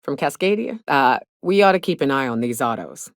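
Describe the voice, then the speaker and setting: A woman's voice: very deep voice, expressive, fairly quick, no echo, clean studio sound. A woman playing a brash, sardonic gunslinger from a space-colony crew in a video game, with a wry, drawling, folksy, sweary delivery. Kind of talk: video game voice acting, short companion lines.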